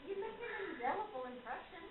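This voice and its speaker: A woman's voice: extremely high pitch, expressive, fairly quick, roomy sound. A woman playing a regal, imperious spirit medium in a radio play, with haughty, formal phrasing and sly needling. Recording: phone-like lo-fi recording with clear noise.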